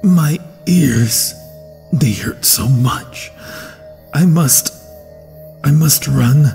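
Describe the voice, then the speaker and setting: A man voicing a possessive, predatory werewolf in an ASMR fantasy roleplay, speaking in a breathy, often whispered voice with a menacing, coaxing manner. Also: a little high, a little mellow, big-room sound, soft background music, exaggerated voice. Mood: pained, alarmed. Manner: slow, hungry, menacing coaxing. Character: predatory, possessive male werewolf hunting its human prey.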